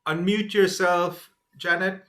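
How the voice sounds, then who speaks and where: Higher-pitched middle-aged male co-host. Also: flat delivery, slow, pushed and bright. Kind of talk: upbeat livestream promotion. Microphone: video call.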